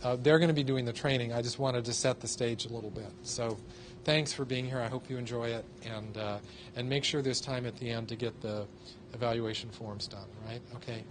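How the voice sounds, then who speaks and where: Man, conference talk, hesitant with many restarts, heard from across the room, heavy rumbling background. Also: fairly even intonation, brisk. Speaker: research study lead introducing a training. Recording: distant mic with loud rumble.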